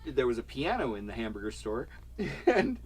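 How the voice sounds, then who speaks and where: A man's voice: medium pitch, sing-song, moderate pace, a little mellow. A man co-hosting a casual homestead livestream, rambling playfully and laughing as he talks. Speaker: livestream co-host.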